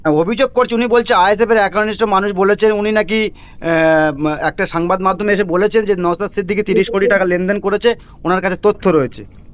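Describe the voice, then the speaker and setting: A man's voice: sits high, fairly even intonation, fairly quick. A male reporter with a West Bengal Bengali accent putting deferential, persistent questions in a phone interview. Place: recorded phone call. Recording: tinny narrowband phone call.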